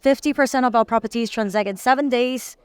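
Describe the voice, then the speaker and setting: Woman ceo giving an interview, smooth clear voice, fairly high, dry close mic. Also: quick pace, no echo. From Singapore. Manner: polished, promotional, uses many fillers. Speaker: company CEO. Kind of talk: business interview.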